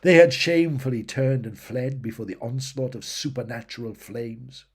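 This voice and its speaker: A man narrates a fantasy battle tale in a husky voice of medium pitch. His reading is theatrical and emphatic, swelling and dropping in intensity like a dramatic audiobook performance. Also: moderate pace. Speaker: audiobook reader of fantasy fiction.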